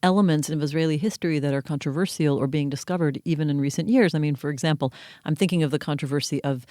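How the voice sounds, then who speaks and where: A man's voice: a little high, fast talker, darkish tone, no room sound, studio quality. A man hosting a podcast interview, pressing his guest with probing follow-up questions.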